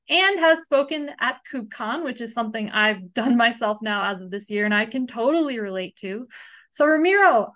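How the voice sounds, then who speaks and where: A woman hosting an interview podcast, upbeat and quick to laugh. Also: on the high side, pushed and bright. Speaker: podcast host.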